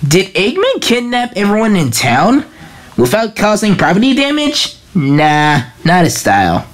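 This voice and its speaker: A woman's voice: medium pitch, huge pitch swings, a little slow. A woman voicing a cocky young male hedgehog hero in a fan comic dub, with a breezy, wisecracking delivery. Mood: wry.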